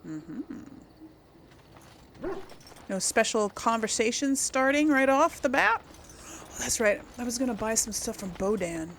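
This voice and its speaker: Female gaming host, mid-pitched with noticeable fry, chatting and thinking aloud over casual play, some background noise. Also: very animated, unhurried, pauses often, fairly bright tone. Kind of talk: casual game playthrough commentary.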